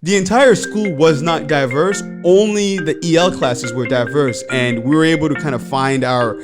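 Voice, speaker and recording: A man's voice: a little high, normal speed, over music. A man in his thirties from the Congo, hosting a podcast and asking questions in a friendly, enthusiastic way. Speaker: podcast host. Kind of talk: casual interview chat.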